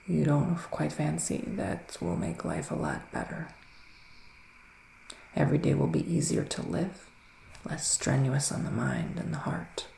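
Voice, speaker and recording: A man speaking in a hushed, half-whispered voice with pauses between phrases, playing a soothing, mystical forest witch in an ASMR tarot reading. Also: a little high, gravelly. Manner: unhurried, pausing between short phrases. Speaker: ASMR roleplay performer. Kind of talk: ASMR psychic reading roleplay. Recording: roomy echo, faint background noise.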